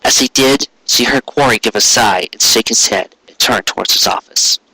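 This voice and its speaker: A man narrates a written story in audiobook style. His voice is bright and forward, of middling pitch, recorded very dry and close. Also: normal speed, no echo, poor audio. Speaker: audiobook reader.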